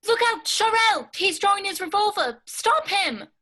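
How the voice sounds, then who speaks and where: Woman as loyal gentleman sidekick, high bright voice, earnest, modest, old radio drama acting. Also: lively intonation, moderate pace, heavily acted. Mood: alarmed. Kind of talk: 1940s radio play acting.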